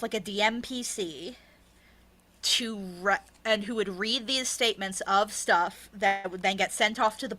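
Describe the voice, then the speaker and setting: A woman's voice: mid-range voice, sharp tone. A woman in a candid, casual interview, talking in a blunt, emphatic and often sweary way. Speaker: interviewed writer and podcast creator.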